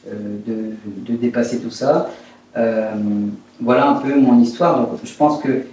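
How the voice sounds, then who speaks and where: A man in his fifties from Brittany, France, telling his life story in an interview in a hesitant, reflective, halting way. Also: medium pitch, a little mellow. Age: 50s. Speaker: interviewed entrepreneur. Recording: very echoey room, distant mic, faint noise.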